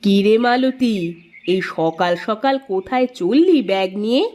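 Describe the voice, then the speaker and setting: A woman's voice: fairly high pitch, animated, moderate pace, a little mellow, natural voice. A woman voicing a spiteful, scheming neighbourhood aunt in a cartoon story, with theatrical, pointed delivery. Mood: nosy, sneering. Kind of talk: Bengali cartoon story dialogue.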